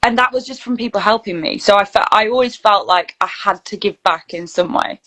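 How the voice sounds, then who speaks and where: A woman talks as a guest in a relaxed interview. Her voice sits at a medium pitch. She is chatty and informal, telling things as anecdotes with plenty of "like". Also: brisk. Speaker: guest, actor and voice reel producer. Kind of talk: casual interview chat.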